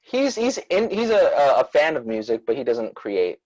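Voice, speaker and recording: A man's voice: medium pitch, sing-song, normal speed, a little mellow, no echo, rough recording. A man from Queens, New York, chatting casually as a musician guest in an interview over a video call. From born in former Soviet Union, raised in Queens, New York.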